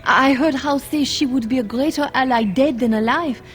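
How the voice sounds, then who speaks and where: Actress as high-voiced earnest handmaiden. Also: female voice, moderate pace, close to an everyday voice. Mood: earnest, worried. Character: earnest, worried young handmaiden to a queen. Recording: game audio with music underneath.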